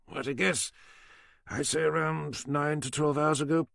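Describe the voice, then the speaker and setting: A man voicing a sarcastic male police doctor who talks in a slow, dry drawl. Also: a little high, fairly even intonation, vocal fry, fairly bright tone, no echo, voice pushed up. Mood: sardonic.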